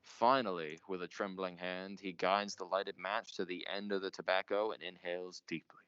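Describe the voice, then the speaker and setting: An actor playing a pompous, melodramatic 1940s radio mystery host, theatrical and portentous in manner. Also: male voice, low-pitched, steady tone, moderate pace, pushed and bright, clear, resonant tone. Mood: brooding. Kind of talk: old-time radio mystery narration. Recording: muffled, noisy amateur stage recording.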